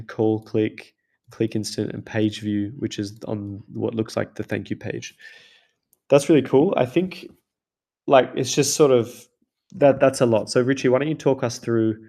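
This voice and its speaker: Mellow-voiced man leading a casual call. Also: mid-range voice. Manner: chatty, loose, with many "like" and "kind of". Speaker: host, ads consultant. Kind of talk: casual screen-share teardown. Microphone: video call.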